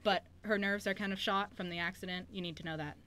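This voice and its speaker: A woman's voice: mid-range voice, fairly even intonation, quick pace, a crowd in the background. A woman in casual chat, giving an informal recap as a cast member on a radio show.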